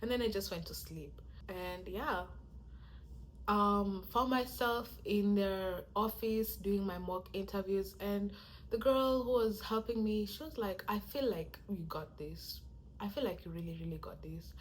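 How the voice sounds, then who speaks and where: A soft-spoken young woman, chatting casually to camera as she tells a story and acts out how people react to her. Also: a little slow, a little hiss or hum, low-quality recording. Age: young adult. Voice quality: soft-spoken, low-volume voice. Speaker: vlogger.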